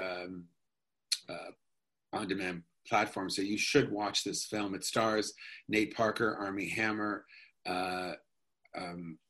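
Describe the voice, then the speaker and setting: Bright-voiced man, low-pitched and rambling. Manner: rambling, enthusiastic, many restarts and fillers. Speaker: actor guest. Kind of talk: casual interview talk.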